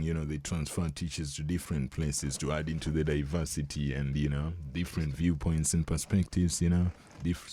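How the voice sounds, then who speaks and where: A young Kenyan man whose first language is Luo, explaining things in a relaxed, conversational way during an interview and chuckling often. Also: very deep voice, dry recording. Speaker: guest.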